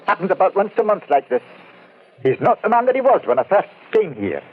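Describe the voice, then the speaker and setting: An actor playing a dour, superstitious estate overseer with a husky voice in an old-time radio drama. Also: male voice, sits high, big pitch swings, a little fast, phone-quality sound, full character voice. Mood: ominous.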